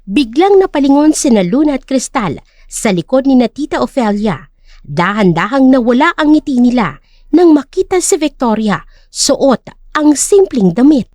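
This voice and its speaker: A woman's voice: high voice, sing-song, moderate pace, warm tone, dry recording, clean studio sound. A woman narrator reading a scripted dramatic story.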